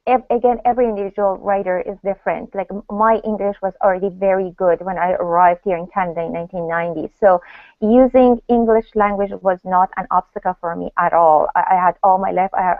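A middle-aged woman from Iran leads a panel discussion over a video call at home. She talks in a brisk, courteous way and steers the conversation firmly. Her voice comes through a tinny, phone-like call line with a dry, close sound. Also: mid-range voice, no echo. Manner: brisk, polite, wraps up firmly. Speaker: moderator. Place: home room, indoors. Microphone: laptop webcam video call.

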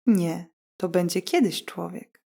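A woman's voice: on the low side, slow, gravelly, warm tone, heavily acted. A woman voicing a sly, knowing male fox who advises in a confident, lecturing manner in a bedtime fairy tale. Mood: sly, assured.

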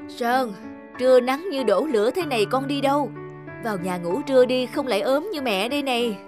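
A woman plays a young cartoon mother, strict and caring. Her high voice scolds with affection, over background music. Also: fairly quick, lightly acted. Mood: tired, scolding. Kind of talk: animated moral fable voice acting. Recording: music behind the voice.

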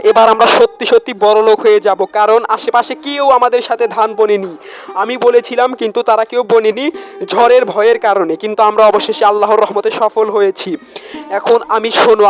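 Man voicing a high-pitched earnest farmer husband. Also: close to an everyday voice. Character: hardworking, hopeful village farmer husband, earnest and reassuring. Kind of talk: amateur cartoon dialogue.

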